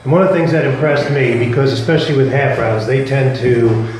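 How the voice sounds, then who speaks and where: A man, a home builder, hosting and interviewing on site in a measured way with frequent fillers. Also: mid-range voice, fairly even intonation, over music. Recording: echoey, with noticeable background noise.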